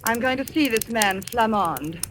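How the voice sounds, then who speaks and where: A headstrong young heroine of a vintage radio mystery. Her voice is fairly high, defiant and suspicious. There is faint noise on the old recording. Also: lively intonation, unhurried, a bit bright. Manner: defiant, dramatic. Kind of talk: 1940s radio drama acting.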